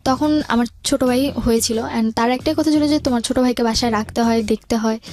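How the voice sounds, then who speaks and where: A young woman tells a personal life story as a guest. Her delivery is plain and a little halting, with English words mixed in. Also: a little high, darkish tone. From Bangladesh. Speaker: radio show guest.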